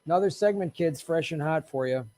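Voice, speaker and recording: A man hosting a political commentary show, ranting loudly and profanely and urging viewers to act. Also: a little high, brisk, dry recording. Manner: talks straight to viewers, urging them to act.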